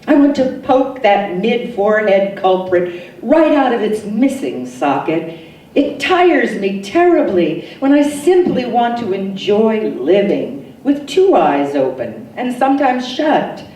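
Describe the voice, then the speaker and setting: A woman poet giving an expressive poetry reading, with deliberate, dramatic phrasing and pauses. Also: medium pitch. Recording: roomy echo, distant mic, faint noise.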